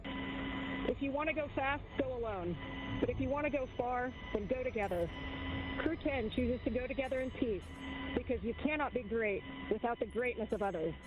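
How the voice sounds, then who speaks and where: A woman astronaut delivering a measured, earnest prepared address over a radio link from inside a spacecraft. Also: a little high, sing-song. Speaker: astronaut, spacecraft crew member. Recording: muffled, noisy spacecraft radio downlink.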